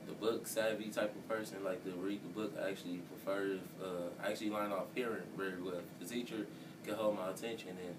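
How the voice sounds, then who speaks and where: Very deep, flat-voiced young man, rambling. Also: fast. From Milwaukee, Wisconsin. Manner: rambling, heavy "you know" and "like" fillers. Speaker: student. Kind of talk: casual interview answers. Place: office computer room, indoors. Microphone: distant camera mic. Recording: distant mic with steady hiss.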